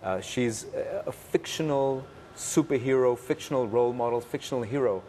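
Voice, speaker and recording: A man from Pakistan giving interview answers as a producer, in a halting way with pauses and repetitions. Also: mid-range voice, dark tone. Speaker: interview guest, cartoon producer. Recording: faint background room noise.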